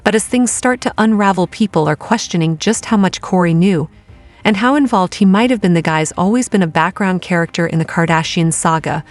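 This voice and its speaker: Low-voiced woman narrating celebrity gossip. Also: a little fast, dry recording.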